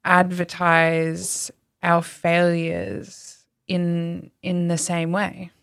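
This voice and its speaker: Woman, low gravelly voice, dry close sound, relaxed thoughtful podcast chat. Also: drawn-out words, no echo. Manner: chatty, thoughtful, trails off mid-sentence. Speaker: yoga teacher and business owner. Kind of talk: casual conversation.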